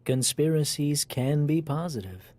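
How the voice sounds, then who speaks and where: Smug, conniving company executive. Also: male voice, fairly high pitch, lively intonation, a little slow, background noise. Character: smug, ingratiating, conniving male operations executive. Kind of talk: audio comedy acting.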